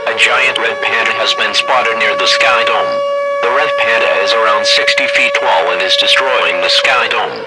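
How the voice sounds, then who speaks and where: A man's voice: on the low side, moderate pace, heavy background noise. A man reading scripted emergency broadcast announcements in a formal, impersonal manner.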